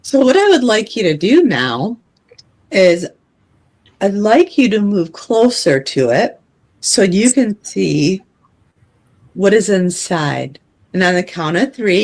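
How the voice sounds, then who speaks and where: A woman calmly guiding someone through a hypnotic regression with steady, directive prompts. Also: lowish voice. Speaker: regression facilitator.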